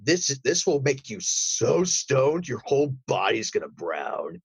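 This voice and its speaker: Stoner surfer dude acted out by a man: gravelly, swooping, drawling and chummy, with conspiratorial paranoia, for improv comedy. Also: medium pitch, very animated, measured pace, heavily acted. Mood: chummy, salesy. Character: laid-back, paranoid long-haired stoner surfer dude. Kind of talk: improvised comedy role-play.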